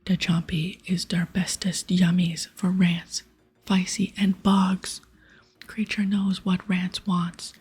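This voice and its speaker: A woman voicing a crude, hungry ogre hunter in a breathy whisper, with childlike broken grammar, for an ASMR game playthrough. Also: lowish voice, level tone, darkish tone, full character voice. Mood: eager, demanding. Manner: broken baby-talk grammar, drawn-out words.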